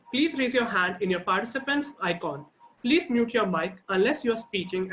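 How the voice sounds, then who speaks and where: A man serving as timekeeper and facilitator, reading a formal welcome and the debate rules in a steady, procedural way over a video call. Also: high voice, slight background noise, poor audio.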